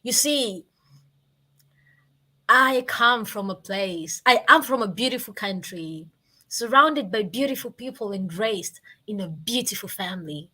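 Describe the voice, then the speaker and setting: A woman in her twenties with a Kenyan accent, telling her story like an inspirational speaker, with emphatic repetition and deliberate pauses. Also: mid-range voice, lively intonation, bright, forceful tone. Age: 20s. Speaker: guest, motivational speaker.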